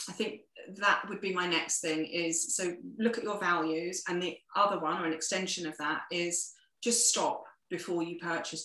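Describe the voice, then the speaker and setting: A woman's voice: lowish voice, a bit bright. Earnest woman in echoey room. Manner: earnest, advice-giving, many restarts. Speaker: sustainability guest. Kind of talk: interview conversation.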